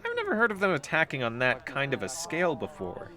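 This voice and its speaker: A woman's voice: deep voice, wildly swooping pitch, quick pace, pushed and bright, full character voice. A woman playing an exasperated, sheepish, sceptical space-crew member in an audio drama, with a hesitant delivery.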